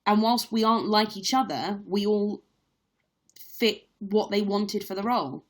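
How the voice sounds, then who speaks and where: A woman's voice: medium pitch, a little slow. A young woman who acts on stage, chatting candidly in a live-stream Q&A with lots of fillers. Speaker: stage actress livestreaming a Q&A. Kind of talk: casual live-stream chat.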